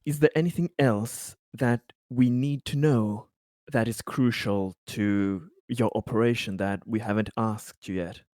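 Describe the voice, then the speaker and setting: A man playing a stern colony leader in a tabletop game, questioning a prisoner in a formal, halting, threatening manner. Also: medium pitch, steady tone, darkish tone, no room sound, clean studio sound, close to an everyday voice. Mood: warning.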